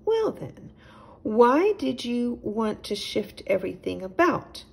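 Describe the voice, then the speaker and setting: A gentle chipmunk friend played by a woman in a storybook, patient and reassuring. His voice is a little raised and husky, with an inquisitive lilt. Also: a little high, big pitch swings, slow, voice pushed up, heavily acted. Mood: curious, puzzled. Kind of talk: children's storybook character voice.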